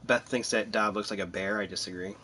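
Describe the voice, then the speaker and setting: A man's voice: fairly low pitch, fairly even intonation, brisk, sharp tone, slight background noise. A man casually commentating on his live game stream, chatty and self-deprecating, thinking aloud as he plays.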